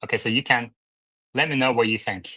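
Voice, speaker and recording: Bright-voiced man hosting a talk stream. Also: mid-range voice, steady tone, a little fast, low-quality recording. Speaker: host. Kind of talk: live stream discussion, casual moderating.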